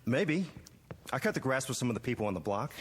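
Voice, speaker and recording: An actor playing a polite, hesitant young American gardener in a radio drama. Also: male voice, mid-range voice, very animated, fast talker, husky, long reverb tail. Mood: polite, earnest. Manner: polite, tentative.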